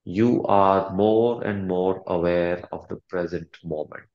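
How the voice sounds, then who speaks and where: Calm guy doing a guided meditation, really slow, lots of pauses, roomy echo on it. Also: low voice, lo-fi sound. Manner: calm, deliberate, soothing.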